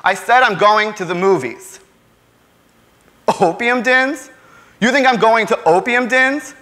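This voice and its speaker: A man's voice: sits high, slow, sharp tone, pitched above the performer's own voice, full character voice. A man performing a stage monologue as a frustrated, defiant young working-class man, with impassioned, sarcastic delivery. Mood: angry, sarcastic.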